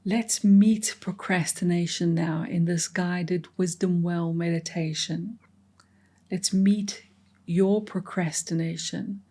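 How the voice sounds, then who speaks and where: A woman leading a guided meditation in a slow, gentle and soothing way, often dropping into soft whispers between reflective pauses. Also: lowish voice, steady tone.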